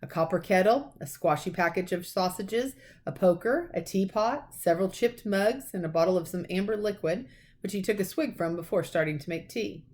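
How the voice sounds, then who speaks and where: A woman reading a children's story aloud like a teacher, in expressive narration. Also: medium pitch, moderate pace.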